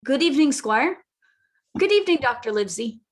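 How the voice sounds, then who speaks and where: Radio drama lad: actress as earnest, well-mannered boy, high-pitched and careful. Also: very animated, brisk. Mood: earnest, polite. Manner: respectful, careful. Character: polite, earnest young boy. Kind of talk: radio play reading.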